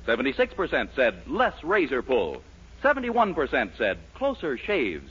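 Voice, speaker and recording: A man's voice: mid-range voice, wildly swooping pitch, normal speed, a bit bright, husky. An American man working as a 1940s radio announcer, reading scripted ads and narration on a dull old broadcast recording.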